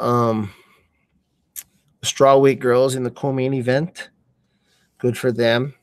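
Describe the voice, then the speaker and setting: A man giving casual, slangy live fight commentary, thinking aloud in a halting way. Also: medium pitch, a little mellow, no room sound. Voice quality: slangy, informal speech.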